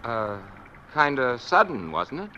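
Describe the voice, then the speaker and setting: An actor playing a friendly young motorcycle cop in 1940s radio style, breezy, teasing and chatty. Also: male voice, mid-range voice, very animated, measured pace, sharp tone, full character voice. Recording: dull, noisy old radio recording.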